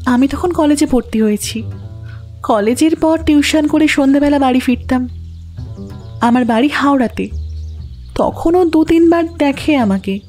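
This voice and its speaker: A young woman playing a sad, resigned widowed mother in an audio drama, speaking haltingly. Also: sits high, mellow, soft background music.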